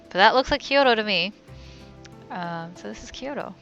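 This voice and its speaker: A woman's voice: mid-range voice, animated, normal speed, highs cut off, music in the background. A young woman giving casual let's play commentary as a game streamer, often murmuring to herself.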